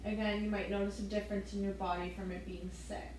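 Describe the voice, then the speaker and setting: Woman yoga teacher talking thru a slow gentle class, soft breathing cues, little laughs, mid pitch, super echoey room. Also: level tone, a little hiss or hum. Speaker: yoga instructor.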